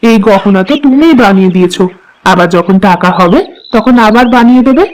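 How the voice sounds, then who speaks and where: A male performer puts on a devoted village housewife for a cartoon tale. The voice is high and gentle, tender and reassuring, over a noisy recording. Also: lively intonation, brisk. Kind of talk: Bengali cartoon story dialogue.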